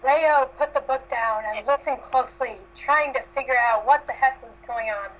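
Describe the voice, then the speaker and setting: A woman's voice: sits high, normal speed, highs cut off, noisy background. A woman narrating fan fiction aloud as an amateur reader.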